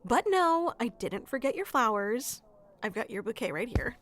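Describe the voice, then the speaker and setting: A woman playing a sweet, flustered florist secretly in love with a best friend, in an intimate audio roleplay with a hesitant, soft-hearted manner. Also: a little high, big pitch swings, moderate pace, a little hiss or hum. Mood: friendly, teasing. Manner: hesitant, soft-hearted, breaking into nervous chuckles and sighs. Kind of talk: intimate ASMR roleplay acting.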